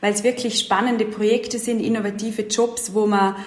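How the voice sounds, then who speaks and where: A woman's voice: medium pitch, level tone, moderate pace. Eager young woman in an echoey room. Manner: eager, leans on filler words while explaining. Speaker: event and project manager, interview guest. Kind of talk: casual interview talk. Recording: roomy echo.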